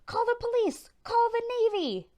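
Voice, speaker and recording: Lady doing a panicky storybook gym coach guy, bellowing way up high, all bluster. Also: sing-song, unhurried, warm tone, full character voice. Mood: panicked. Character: alarmed, bellowing male school swim coach. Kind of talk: picture-book read-aloud.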